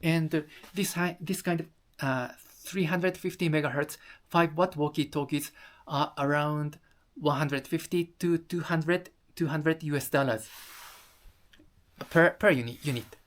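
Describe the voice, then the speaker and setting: Someone explaining stuff to camera, mid pitch, careful non-native english, kinda halting. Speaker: video presenter. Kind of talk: explanatory talk to viewers.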